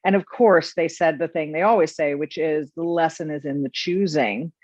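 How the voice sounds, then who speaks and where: Reflective middle-aged woman, lower voice, dull lo-fi sound. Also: moderate pace, darkish tone, no room sound. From United States. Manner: earnest, reflective storytelling with many restarts. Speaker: podcast host. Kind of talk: casual interview chat.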